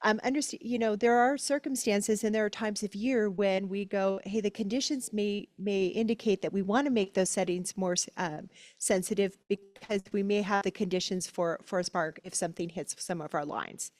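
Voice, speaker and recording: A woman's voice: medium pitch, moderate pace, few pauses, a little mellow, no echo. A woman speaking as a company representative at a community meeting, explaining things in a conversational, informal way. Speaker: utility company representative presenting.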